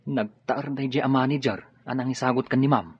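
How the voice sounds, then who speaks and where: A man's voice: medium pitch, steady tone, brisk, breathy edge. A man playing a deferential, gossipy employee talking with his lady boss in a radio drama. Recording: dull lo-fi radio sound.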